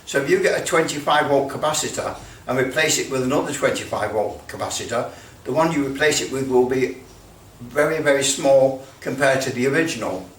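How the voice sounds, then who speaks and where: A man talks casually through a practical repair demonstration at a middling pitch. He thinks aloud, hesitating and circling back over his own phrases. The voice sits away from the microphone in a roomy space, with faint noise behind it. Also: a little fast. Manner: hesitant, rambling, thinking aloud, repeats himself. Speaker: presenter of an electronics repair video. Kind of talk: casual hands-on demonstration talk. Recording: roomy, distant mic, faint background noise.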